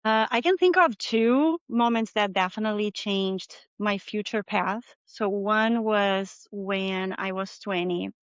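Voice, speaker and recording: A middle-aged Brazilian woman speaks English with a clear Brazilian Portuguese accent and a smooth, resonant voice. She talks openly and thoughtfully, with a few small non-native slips. The sound is dry and a little muffled. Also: mid-range voice, unhurried, few pauses, no echo. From southern Brazil. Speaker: interview guest, marketing manager. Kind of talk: video interview conversation.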